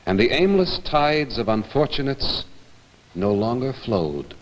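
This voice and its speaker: A man giving a measured, theatrical staged reading of literature, as an actor would in a 1960s broadcast. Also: on the low side. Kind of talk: dramatic literary recitation. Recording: hall reverb with music behind, 1960s broadcast. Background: music.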